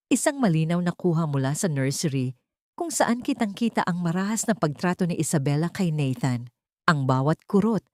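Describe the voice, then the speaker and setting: A woman with a low voice narrates dramatic fiction. Her delivery is deliberate and theatrical, with melody that swings widely to build suspense, in a very dry, close sound. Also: a little mellow, no echo. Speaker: narrator of an audio story. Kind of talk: dramatic scripted storytelling narration.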